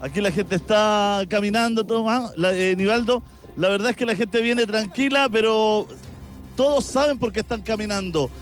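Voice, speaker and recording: A man with a fairly high voice and a Chilean accent reports live from a crowded avenue outdoors, stopping passers-by with quick, conversational questions. Faint music sits under the broadcast. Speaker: street reporter. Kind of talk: live field news report with street interviews. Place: busy city avenue outdoors among walking crowds.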